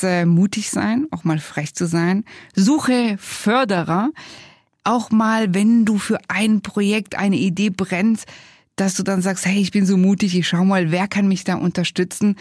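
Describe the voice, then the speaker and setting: A woman's voice: medium pitch, darkish tone, no echo. Effusive woman hosting, close dry sound. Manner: effusive, complimentary. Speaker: podcast host. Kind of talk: conversational interview hosting.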